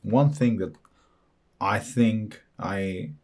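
A man hosting an electronics repair video, walking through a teardown at an unhurried pace and thinking aloud as he goes. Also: on the low side, a little mellow. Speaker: electronics repair channel host. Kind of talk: hands-on repair walkthrough.

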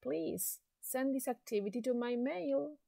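A woman teaching an English lesson to secondary students, speaking slowly and deliberately with an encouraging classroom manner. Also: sits high, vocal fry, dark tone, no room sound, studio quality. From Chile. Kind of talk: slow recorded classroom lesson.

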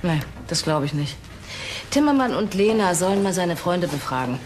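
An actress playing a sceptical police investigator in a crime drama, businesslike and direct. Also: female voice, on the low side, very animated, normal speed, a little hiss or hum. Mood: analytical. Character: matter-of-fact, sceptical female police investigator. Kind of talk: crime drama acting in German.

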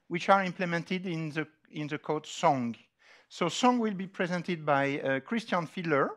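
Male scientific lecturer, French accent, slightly high register, hesitant and deliberate, presenting informally. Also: a bit bright. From France. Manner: halting, deliberate, with frequent hesitations. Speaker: lecturer opening a scientific workshop. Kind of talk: informal technical lecture with slides. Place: large dark auditorium, on stage.

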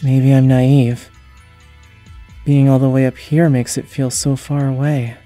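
A woman's voice: a bass voice, little pitch movement, unhurried, dark tone, soft background music. A woman playing a naive, awkward young tinkerer in a comic dub, casual and hesitant. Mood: matter-of-fact. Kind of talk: comic dub narration and dialogue.

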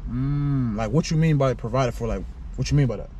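A man's voice: mid-range voice, a little fast, mellow. A man in his thirties hosting a prank show, chatting in a laid-back, slangy way inside a parked convertible car. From New York and Nigeria. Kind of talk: casual flirty chat. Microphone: fixed camera on dashboard. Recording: distant mic with street noise.

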